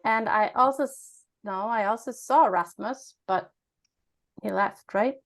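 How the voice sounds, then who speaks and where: A woman hosting an online meeting, calling on speakers in a relaxed way. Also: medium pitch, measured pace. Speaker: host, zone coordinator. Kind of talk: meeting moderation.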